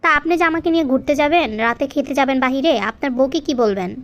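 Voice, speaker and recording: Actress as very high-pitched, coquettish scheming mistress. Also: female voice, fast talker, lo-fi sound, natural voice. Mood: coy.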